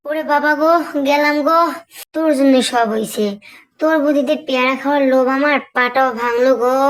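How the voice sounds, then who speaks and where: A woman's voice: high-pitched, poor audio. A woman voicing an eager, complaining little village girl in a cartoon, whiny and easily aggrieved. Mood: wailing, blaming. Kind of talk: cartoon voice acting.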